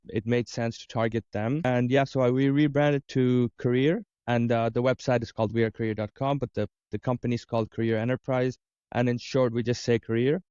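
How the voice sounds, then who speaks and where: A man's voice: medium pitch, dark tone, smooth, no room sound, highs cut off. A man from Dubai answering interview questions as a career coach, talking conversationally and explaining with lists of examples. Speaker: interview guest, career coach and business owner.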